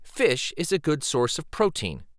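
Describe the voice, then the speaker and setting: A man voicing a calm, kindly, matter-of-fact mother in a children's picture book. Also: on the high side, measured pace, no room sound, clean studio sound, exaggerated voice. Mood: reassuring. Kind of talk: children's read-aloud character voice.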